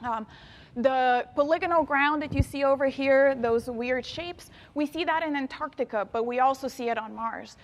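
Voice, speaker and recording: A woman's voice: sits high, moderate pace, long reverb tail. A woman in her thirties from Bulgaria, an engineer and planetary scientist giving an enthusiastic talk to young students. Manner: enthusiastic, addresses audience directly. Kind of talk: inspirational talk to youth. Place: event hall.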